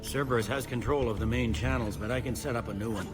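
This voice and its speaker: A man playing a gruff, sardonic, embattled security officer in a video game, speaking bluntly. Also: medium pitch, fast talker, over music, close to an everyday voice. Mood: sardonic, focused.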